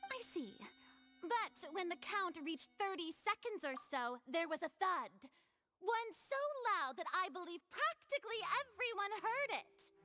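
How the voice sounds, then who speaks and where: A haughty young woman prosecutor from a video game. Her voice is high and swings widely as she taunts and declaims with pompous, dramatic relish. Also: very animated, unhurried, pushed and bright, no room sound, soft background music, exaggerated voice. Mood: probing.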